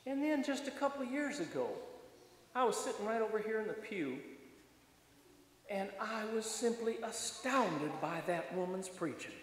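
Older folksy preacher in a very echoey church. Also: male voice, high voice. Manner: folksy, colloquial, addressing a congregation. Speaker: retired pastor preaching. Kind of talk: folksy sermon. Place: church sanctuary, standing at the front.

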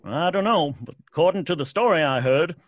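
A man's voice: a little high, expressive, fairly quick, close to an everyday voice. A man playing a folksy, uneasy graveyard caretaker with a hesitant, rustic manner in an old-time radio drama. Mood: ominous, folksy.

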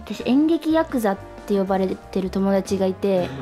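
A woman's voice: mid-range voice, animated, moderate pace, mellow, faint music behind. A woman co-hosting a radio show, chatting casually about stage acting life as an actress.

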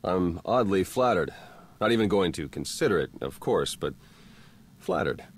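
Deep-voiced wry secret agent. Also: male voice, lively intonation, moderate pace, breathy edge, heavily acted. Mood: dry, wry. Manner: casual, dry, wisecracking. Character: wry, confident young male Republic secret agent. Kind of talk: scripted video game cutscene acting.

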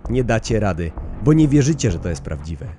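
Man voicing a menacing low taunting monster. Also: big pitch swings, fairly quick, warm tone, faint music behind. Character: menacing, taunting imaginary monster from childhood fantasy.

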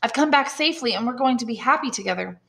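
A woman reading an audiobook as an affectionate, excitable young male sailor of twenty. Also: fairly high pitch, quick pace, gravelly, voice pushed up, heavily acted. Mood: excited, earnest. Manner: earnest, excitable. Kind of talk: audiobook storytelling.

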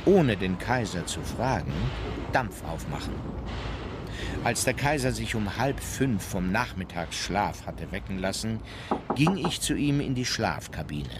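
Composed, formal German admiral. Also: male voice, on the low side, animated, unhurried, heavy background noise. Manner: formal, factual, restrained. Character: composed German admiral recounting his memoirs. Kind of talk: scripted memoir narration in audio drama.

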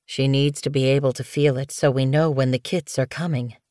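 A woman voicing a calm, kindly male cat healer in an audiobook, measured, reassuring and lightly wry. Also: very deep voice, no echo, clean studio sound.

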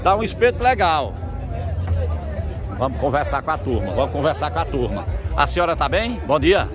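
A man's voice: a little high, sing-song, moderate pace. A man who hosts a video. He walks through an outdoor livestock market, chatting with traders and greeting everyone in an upbeat, repetitive way. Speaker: market reporter and video host. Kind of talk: on-the-spot interviews while walking. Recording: noisy outdoor market crowd. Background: crowd chatter.

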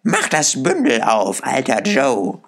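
A man's voice: mid-range voice, huge pitch swings, slow pace, voice pushed up, heavily acted. A man voicing a brash, defiant old woman thief in an audiobook, with a coarse, taunting manner. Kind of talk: audiobook character voice.

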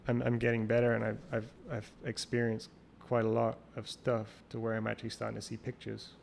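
Gravelly low-voiced man, flat delivery. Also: fairly quick. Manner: rambling, restarts often, thinks aloud. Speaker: guest, champion water skier. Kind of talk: casual interview conversation. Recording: remote call recording with faint noise.

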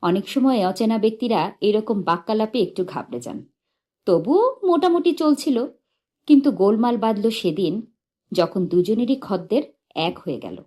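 A woman narrating a humorous short story in an expressive, measured and dramatic storytelling manner. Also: mid-range voice. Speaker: audiobook narrator.

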